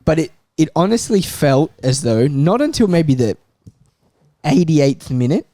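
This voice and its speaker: A man's voice: on the high side, very animated, measured pace, warm tone, no room sound. A man talking football as a fan panellist in a casual debate, stressing points by repeating himself.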